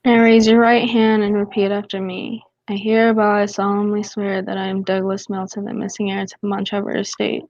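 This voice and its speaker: A woman's voice: mid-range voice, level tone, darkish tone, no room sound, highs cut off, close to an everyday voice. A woman playing a formal, businesslike commissioner of oaths in a radio drama. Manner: slow, official.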